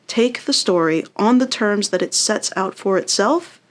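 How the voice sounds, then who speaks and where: A woman's voice: mid-range voice, expressive, measured pace. A woman with a mid-range voice gives an instructional lesson. She talks conversationally and encouragingly, as a writing teacher would.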